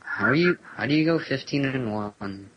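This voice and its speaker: A man's voice: mid-range voice, expressive, moderate pace, dull audio, very noisy. A young man co-commentating a game clip over a headset mic, exasperated and protesting against his friends' teasing.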